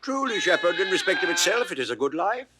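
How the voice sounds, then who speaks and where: A British actor playing a witty, mock-grave court jester in a 1960s audio drama, weighing each point in balanced Shakespearean phrases. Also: male voice, sits high, very animated, fast talker, very noisy. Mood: mock-philosophical, teasing. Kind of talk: classical Shakespearean stage acting.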